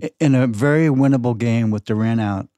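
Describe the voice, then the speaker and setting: An older man chatting casually about sports as a podcast guest, halting and repeating his words. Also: medium pitch, dark tone, dry recording.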